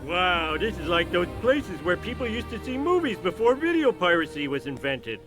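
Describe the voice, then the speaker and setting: A man playing a dim-witted, excitable middle-aged cartoon dad, blustering and whiny with childish emotion. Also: sits high, moderate pace, music in the background, poor audio. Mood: amazed. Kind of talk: animated comedy cartoon acting.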